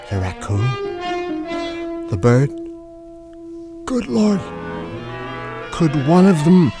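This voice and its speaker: An actor playing a fussy, jittery wealthy husband in a radio mystery, theatrical and wry. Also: male voice, medium pitch. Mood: alarmed, awed. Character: fussy, jittery wealthy husband narrating his own misadventure. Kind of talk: radio mystery drama acting, first-person narration.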